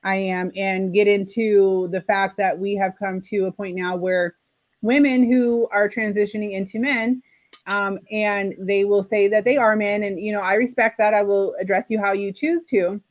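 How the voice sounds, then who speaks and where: Measured woman moderating, in dry close sound. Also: medium pitch, fairly even intonation, no room sound, muffled recording. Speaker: discussion host. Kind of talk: live discussion moderation.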